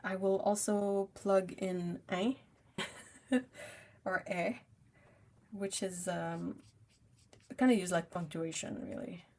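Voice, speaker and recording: A woman's voice: mid-range voice, fairly even intonation, slow, long pauses, a little mellow. A young woman with a French Canadian accent, a native French speaker, chatting casually with viewers on a livestream while she paints, reading the chat aloud and laughing often.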